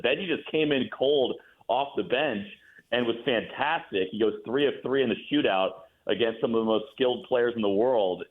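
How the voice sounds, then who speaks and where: Thin phone audio, american guy sportscaster chatting hockey style, animated phrasing, medium pitch. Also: narrow, tinny audio. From Midwestern United States. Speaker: radio play-by-play announcer, guest. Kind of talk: casual sports interview.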